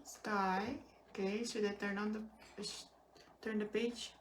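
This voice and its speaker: A woman's voice: medium pitch, flat delivery, slow pace, far from the mic. A woman chats casually, prompting a young child with simple questions. She sounds set back from the microphone in a roomy space, with faint noise around her. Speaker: adult helper prompting a child's puppet show.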